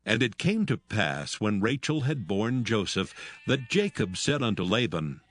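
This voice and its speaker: A man's voice: fairly low pitch, big pitch swings, unhurried, fairly bright tone. A man narrating scripture from a script in a solemn style.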